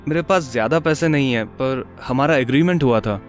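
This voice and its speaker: A man voicing an earnest young man in love in an animated story. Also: medium pitch, normal speed, faint music behind, close to an everyday voice. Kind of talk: animated story character acting.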